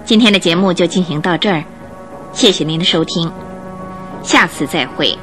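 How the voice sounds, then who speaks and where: A woman narrator telling a radio drama story in an expressive, scripted and dramatic style, building suspense. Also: lowish voice, normal speed. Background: music.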